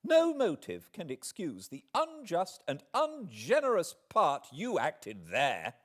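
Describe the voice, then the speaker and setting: A man voicing a spirited, indignant young English gentlewoman with an emphatic, articulate, accusing delivery in an audiobook. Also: fairly high pitch, wildly swooping pitch, takes it slowly, voice pushed up, heavily acted. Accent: British.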